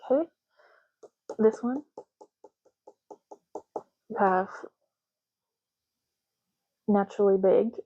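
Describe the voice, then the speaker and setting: A soft-spoken woman doing an ASMR roleplay as a clerk, explaining gently and unhurriedly in short, broken phrases. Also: mid-range voice, dry recording, narrow, tinny audio. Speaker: ASMR creator playing an ID card clerk.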